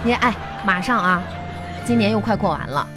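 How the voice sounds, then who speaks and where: An actress with a Northeastern Mandarin accent playing a teasing, exasperated best friend in a live radio comedy skit. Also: female voice, mid-range voice, lively intonation, moderate pace, lightly acted. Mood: nagging. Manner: scolding, folksy, teasing. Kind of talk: live broadcast comedy skit, colloquial banter. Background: music.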